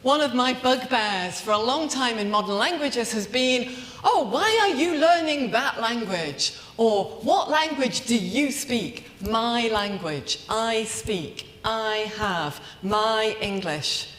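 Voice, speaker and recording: A woman's voice: on the high side, expressive, a bit bright. Woman lecturing in an echoey hall. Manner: measured, emphatic, repeats phrases in lists. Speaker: academic plenary speaker. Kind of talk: conference lecture, rhetorical and reflective. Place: large conference hall.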